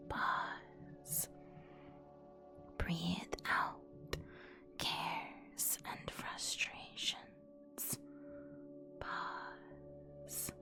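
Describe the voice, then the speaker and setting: A woman whispering an ASMR guided meditation as its host, in a soothing, instructional manner with long pauses. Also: fairly low pitch, gravelly. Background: music.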